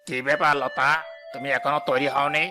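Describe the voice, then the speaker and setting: A man's voice: medium pitch, level tone, a little fast, lightly acted. A man voicing a greedy, callous village headman in a cartoon moral story, scornful and domineering. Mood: angry, impatient. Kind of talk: animated moral story voice acting. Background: loud background music.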